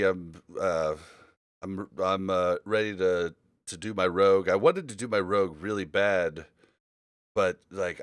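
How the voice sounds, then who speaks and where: A man's voice: sits low, no room sound, studio quality. A man hosting a casual gaming podcast, talking in a rambling, informal way. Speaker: podcast host.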